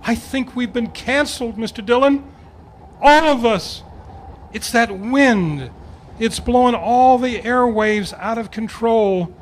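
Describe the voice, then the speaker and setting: A man's voice: very high-pitched, slow pace. A man playing an anxious, pleading western deputy sidekick in an old-time radio parody. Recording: faintly noisy live club performance.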